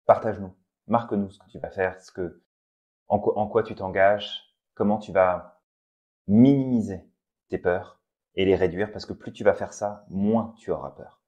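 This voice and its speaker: A man co-hosting a casual motivational podcast, speaking calmly and addressing the listener directly to push them into action. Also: deep voice, normal speed, lo-fi sound. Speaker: podcast co-host.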